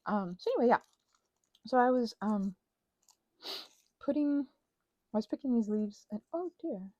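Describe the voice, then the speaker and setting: Soft husky woman, rambling craft ASMR. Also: fairly high pitch, lively intonation, measured pace, no room sound, lo-fi sound. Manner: hesitant, meandering, self-interrupting. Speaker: host of a craft ASMR channel. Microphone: close mic.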